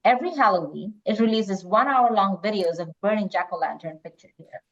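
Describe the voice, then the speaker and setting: A woman presents a quiz, moving between read-out questions and casual banter. Her pitch is average, and the recording is dull and lo-fi. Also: expressive, brisk. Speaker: quiz host reading questions. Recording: dull lo-fi online call audio.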